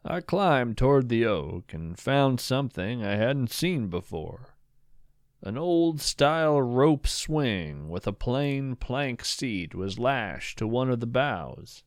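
A man playing a reflective, whimsical traveler, narrating audio fiction in an unhurried, lyrical and gently wry way. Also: mid-range voice, very animated, dry recording, clean studio sound. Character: reflective, whimsical male wanderer telling of sea travels. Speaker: podcast narrator. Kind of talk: scripted first-person audio fiction narration.

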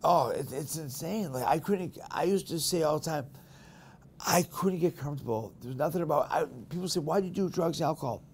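A man's voice: a little high, brisk, slight background noise. A man with a Boston accent hosting a podcast, talking bluntly and candidly in casual conversation. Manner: blunt, candid, animated storytelling. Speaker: podcast host.

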